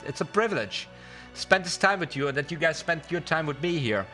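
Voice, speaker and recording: Bright-voiced man chatting on a livestream. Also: on the high side, expressive, brisk. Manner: rambling, addresses chat directly, repeats words for emphasis. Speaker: magician livestream host. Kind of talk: casual livestream chat and card magic tutorial. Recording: music behind the voice. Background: music.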